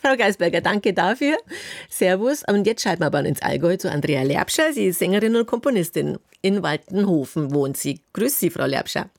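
A woman's voice: fairly low pitch, sing-song, fairly quick. A woman hosting a radio call-in in a conversational interviewing style. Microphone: close, dry studio microphone.

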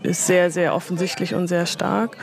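A woman from Germany, an interview guest who works as an educator, giving reflective, measured answers. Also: fairly low pitch, fairly even intonation, gravelly.

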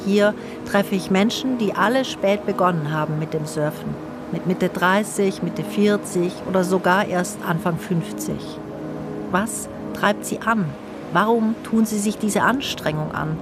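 A woman reads her own reflective radio feature script, calm and personal, often posing questions. Clear music plays underneath her voice throughout. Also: mid-range voice, unhurried. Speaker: radio feature author and narrator. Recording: studio narration over music.

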